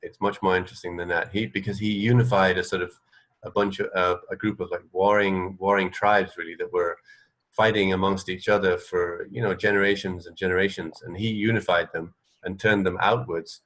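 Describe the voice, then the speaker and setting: A man with a very deep voice talks casually as a radio co-host. He explains things haltingly, circling back over his phrases and rambling a little as he works toward his point. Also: steady tone, a bit bright, rough recording.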